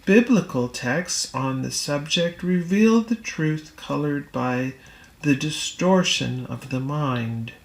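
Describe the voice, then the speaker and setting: Female audiobook reader for spiritual text: unhurried, deliberate, mid-range pitch, recorded in a reverberant room.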